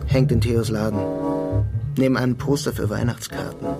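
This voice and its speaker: An actor as a young male clerk in a radio crime play, sly and resigned. He tells his story with understated irony at a medium pitch, with music clearly behind him. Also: fairly even intonation, moderate pace, close to an everyday voice. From Germany. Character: sly, resigned young German immigrant clerk, wryly ironic. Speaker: narrator and lead character. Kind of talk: radio drama first-person narration and dialogue.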